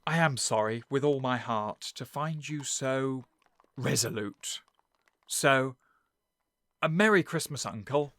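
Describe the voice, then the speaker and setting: An actor playing an earnest, good-natured nephew in an audio play, hearty and sincere in manner. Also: male voice, medium pitch, slow, a bit bright, dry recording. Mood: pleading, kind. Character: earnest, cheerful, warm-hearted nephew of a miser.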